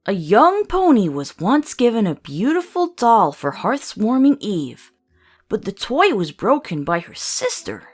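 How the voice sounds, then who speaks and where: A woman's voice: medium pitch, sing-song, a little slow, breathy edge, faint music behind. A woman doing a sarcastic fed-up filly. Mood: reading. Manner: sarcastic, exasperated.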